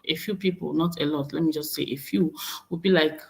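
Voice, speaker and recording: A woman's voice: sits low, steady tone, a little fast, creaky. A woman in her thirties with a Nigerian accent, talking casually to camera, often repeating and correcting herself. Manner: chatty, repeats and corrects herself, reads viewer comments aloud. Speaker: vlogger.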